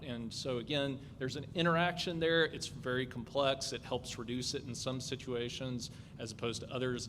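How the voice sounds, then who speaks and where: Male academic presenter, mid-range voice, measured delivery; long reverb and noisy room sound. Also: mid-range voice. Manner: measured, explanatory, occasional fillers. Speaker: researcher presenting findings. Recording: reverberant hall with noise.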